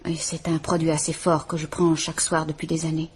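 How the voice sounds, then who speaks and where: An actress playing a frightened, formal estranged wife who is visiting a police inspector, speaking in a restrained, measured way. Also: female voice, low voice, flat delivery, slight background noise, natural voice. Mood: fearful, measured. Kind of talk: 1950s French radio drama acting.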